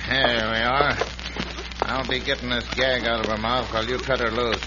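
A man's voice: medium pitch, level tone, moderate pace, close to an everyday voice. Man acting a crooked western lawyer, heavy radio hiss. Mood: coolly threatening. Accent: American. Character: smooth-talking, ruthless crooked western lawyer villain. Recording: very noisy, muffled old radio recording.

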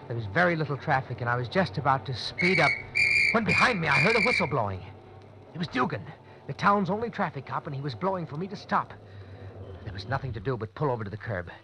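An actor playing a nervous, desperate young college student, urgent and tense in a vintage radio drama. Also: male voice, fairly high pitch, very animated, fast talker, background noise. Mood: tense narration. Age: young adult. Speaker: radio drama lead and narrator. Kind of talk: 1940s-50s radio acting with first-person narration.

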